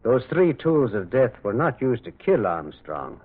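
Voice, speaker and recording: A man acting a gentle, shrewd priest detective in a radio drama, musing and insistent, repeating himself as he probes. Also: medium pitch, big pitch swings, a little slow, husky. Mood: insistent, revealing. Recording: lo-fi, narrow-band old radio sound.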